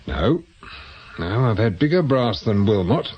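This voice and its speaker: A man's voice: fairly low pitch, sing-song, unhurried, slightly rough, lo-fi sound, full character voice. A British man acting in a radio drama as a world-weary, sardonic private investigator who used to be a policeman. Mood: wryly amused. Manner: dry, sardonic. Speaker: actor.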